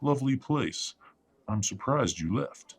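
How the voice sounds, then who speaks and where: A man playing a suave, condescending father with a husky voice and formal, unctuous manner in an audio drama. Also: mid-range voice, lively intonation, unhurried, heavily acted. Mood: veiled condescension. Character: Charles, suave disapproving father, smoothly condescending patriarch. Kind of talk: audio roleplay drama acting.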